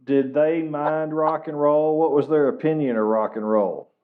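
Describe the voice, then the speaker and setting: A man's voice: a little high, fairly even intonation, moderate pace. A man interviewing a guest, asking short follow-up questions. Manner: brief, prompting follow-up questions. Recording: tinny phone-like sound.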